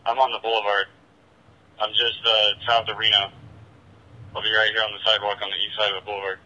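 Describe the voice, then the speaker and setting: Clipped, sharp-edged man on police radio. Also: on the low side, monotone, fast talker. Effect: two-way radio. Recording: muffled police scanner radio transmission.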